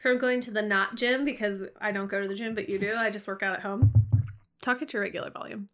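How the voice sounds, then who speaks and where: A woman's voice: medium pitch, fairly even intonation, quick pace, a bit bright, soft background music. A young woman co-hosting a podcast in casual, joking chat, laughing often. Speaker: podcast co-host.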